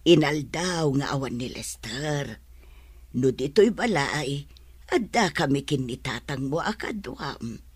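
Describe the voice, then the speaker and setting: Low, worried, protective mother. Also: expressive, takes it slowly, husky, no echo, full character voice. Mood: worried, cautioning. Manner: concerned, gently cautioning. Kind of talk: radio drama acting.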